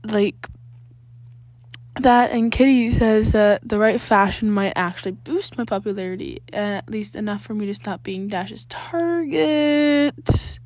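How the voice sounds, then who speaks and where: A woman's voice: medium pitch, measured pace, a little mellow, no room sound, close to an everyday voice. Woman as defensive, awkward teenage boy. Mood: hopeful, awkward. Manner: hesitant, stammering, protesting. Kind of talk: acted fan fiction dialogue.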